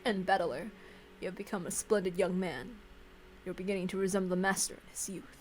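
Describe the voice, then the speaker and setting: Woman acting a lowered, composed old butler. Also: fairly even intonation, soft background music, natural voice, rough recording. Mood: calm, courteous. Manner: formal, measured, quiet. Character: calm, composed, courteous aged male butler.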